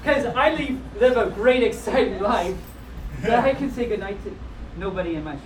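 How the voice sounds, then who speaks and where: A woman's voice: fairly high pitch, lively intonation, moderate pace. A woman reading storybooks aloud live, with playful, wry asides as she breaks off to comment on the text. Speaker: storyteller reading picture books to a live group. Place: live session at a convention. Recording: roomy, distant live event recording with clear noise.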